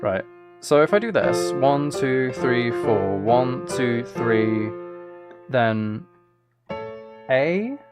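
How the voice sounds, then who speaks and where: A man's voice: mid-range voice, expressive, extremely slow, pauses often, over loud music. A man chatting casually on a live stream, playful and self-deprecating, thinking aloud as he works. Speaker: live streamer and music maker.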